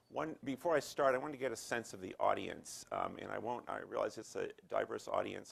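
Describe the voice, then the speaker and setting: A man in his sixties with a Boston accent, giving a relaxed, conversational lecture to a live audience in a lecture room. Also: on the low side, creaky, husky. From United States, Boston area. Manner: relaxed, conversational, joking asides. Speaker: guest lecturer.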